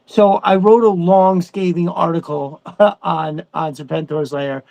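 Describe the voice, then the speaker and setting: A man's voice: a little high, level tone, unhurried, gravelly, darkish tone, no echo, poor audio. A man co-hosting a live stream in a casual, enthusiastic and agreeable way. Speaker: regular live stream co-host. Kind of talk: casual fan chat.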